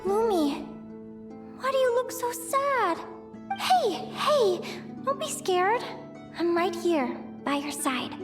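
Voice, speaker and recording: A very high, girlish-pitched young woman explorer in a video game, gentle and earnest. She speaks with wistful, anxious hesitation, over a clear music bed. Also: very animated, slow pace, long pauses, exaggerated voice. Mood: tender concern.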